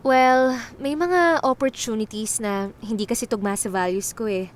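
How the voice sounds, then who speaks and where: A woman acting in a Filipino radio drama as a contented former teen star turned doctor, conversational and gently teasing. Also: a little high, moderate pace, lightly acted. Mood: composed, sincere. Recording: faint music behind the voice.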